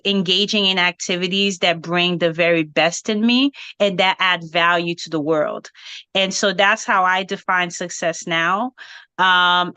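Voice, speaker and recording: Woman, grew up in Haiti, French native, low-pitched, dry close sound, thoughtful, filler-heavy. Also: bright, forceful tone, clear, resonant tone, no room sound. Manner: thoughtful, many fillers like um and you know. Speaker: guest, writer and editor. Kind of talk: reflective interview answers.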